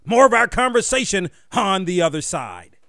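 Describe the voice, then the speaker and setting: A man's voice: high-pitched, very animated, moderate pace, creaky, noisy background. A man hosting a radio interview show, emphatic and enthusiastic as he asks his questions. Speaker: radio host.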